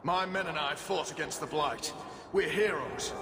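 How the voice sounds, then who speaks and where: A man's voice: a little high, normal speed, natural voice. A man playing an angry, proud soldier demanding passage in a fantasy video game. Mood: angry, indignant. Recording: long hall reverb, loud music behind.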